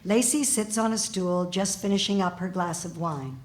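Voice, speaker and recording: A woman's voice: medium pitch, moderate pace. Woman reading stage directions over hiss.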